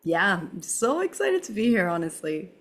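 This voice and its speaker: A woman's voice: medium pitch, sing-song, normal speed, fairly bright tone. A young woman from Venezuela, a podcast guest talking effusively, laughing often and filling her speech with "like". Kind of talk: casual conversation.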